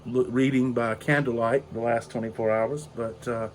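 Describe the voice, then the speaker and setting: An older man, raspy and hoarse from a cold, rambling slowly through a casual story on his porch. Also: medium pitch, fairly even intonation, slight background noise. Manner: slow, rambling, with frequent fillers. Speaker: pipe-smoking video host. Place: outdoor porch in drizzling rain.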